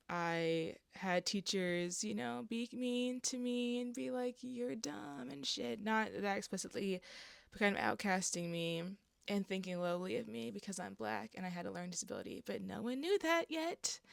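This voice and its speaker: A young woman with a raspy, gravelly voice talks loosely and candidly, with plenty of "um"s, "like"s and swearing. Her hoarseness sits over a smooth, resonant tone, and the sound is close and dry with no room around it. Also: mid-range voice, dry recording. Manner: casual and candid, with frequent fillers and swearing. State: hoarse from an allergic reaction. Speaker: vlogger. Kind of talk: casual chat to camera.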